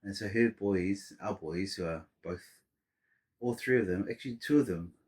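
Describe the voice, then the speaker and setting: A man's voice: low-pitched, normal speed, slightly rough. A middle-aged man from Samoa, a podcast guest chatting casually and rambling with many restarts. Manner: rambling, restarts and fillers, warm laughter-prone storytelling. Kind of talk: casual interview chat.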